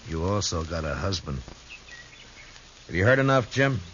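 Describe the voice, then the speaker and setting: A very low-voiced American frontier marshal, stern and steady, speaking with laconic authority. It is old radio western drama, on a dull recording with light hiss. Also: male voice, normal speed. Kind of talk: 1950s radio western acting.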